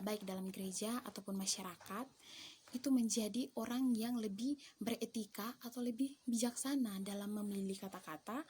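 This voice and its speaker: Measured young woman student, mellow voice. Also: mid-range voice, slight background noise. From eastern Indonesia. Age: young adult. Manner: careful, measured, with hesitations and restarts. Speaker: theology student. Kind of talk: reflective class presentation.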